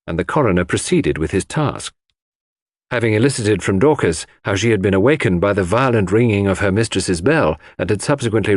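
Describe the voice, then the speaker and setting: A man reading a classic detective story as scripted narration, in a measured storytelling manner. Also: on the low side, no echo, studio quality. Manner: measured storytelling with acted dialogue. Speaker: audiobook narrator.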